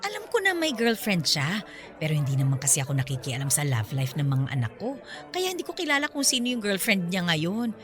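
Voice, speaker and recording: A woman playing a chatty, excitable middle-aged mother confiding in her best friend in a radio drama. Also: fairly low pitch, fairly quick, soft background music. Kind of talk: conversational radio drama acting.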